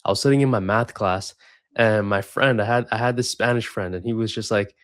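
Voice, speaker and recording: A man's voice: fairly low pitch, a little fast, dry recording, clean studio sound. Casual young man from Sri Lanka. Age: 20s. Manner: rambling with many fillers and restarts. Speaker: guest. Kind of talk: casual interview storytelling.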